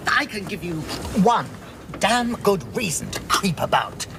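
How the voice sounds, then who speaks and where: An actor playing a nervous middle-aged man who talks in a hushed, conspiratorial way. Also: sits high, sing-song, measured pace, creaky, background noise, heavily acted. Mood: furtive.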